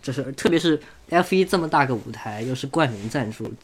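A man's voice: medium pitch, animated, fairly quick. A man co-hosting a motorsport podcast in casual conversation. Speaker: podcast co-host.

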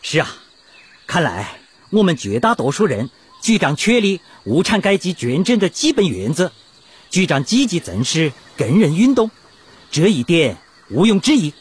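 An actor playing an earnest male revolutionary delegate in a historical radio drama, speaking in a measured, declamatory way. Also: a little high, very animated, breathy edge, exaggerated voice. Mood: earnest, resolute.

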